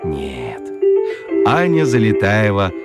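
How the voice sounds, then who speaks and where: A man narrating a children's radio story in an expressive, theatrical, confiding way with playful gravity and dramatic pauses. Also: fairly low pitch. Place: studio. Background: music.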